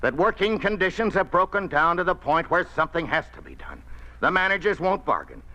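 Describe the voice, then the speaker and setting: Bright-voiced, indignant union leader. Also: male voice, a little high, big pitch swings, a little fast, low-quality recording. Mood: earnest, defiant. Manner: earnest, emphatic, argumentative. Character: indignant, defiant male union leader, flustered when cornered. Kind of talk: 1950s radio drama acting.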